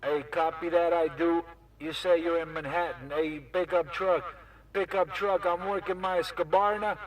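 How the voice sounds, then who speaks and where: Casting: high-voiced male CB operator, showy and repetitive banter, sounding distant from the mic. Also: fairly even intonation, moderate pace, noisy background. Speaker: CB radio operator. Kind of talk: CB radio banter in repeated chanted catchphrases. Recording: CB radio chatter, off the mic.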